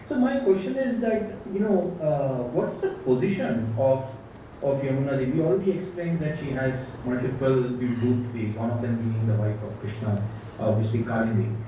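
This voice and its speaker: Man, soft dark tone, halting student question, distant mic, faint background noise. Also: mid-range voice, very echoey. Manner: halting, repeats "you know". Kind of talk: spoken question in a class.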